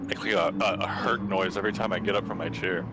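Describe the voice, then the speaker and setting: A man chatting on a stream, telling animated stories and swearing casually. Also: sits low, fast talker, background noise.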